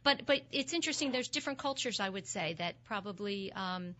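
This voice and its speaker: Bright-voiced woman hosting an interview. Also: medium pitch, animated, a little fast, muffled recording. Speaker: host. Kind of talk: interview conversation.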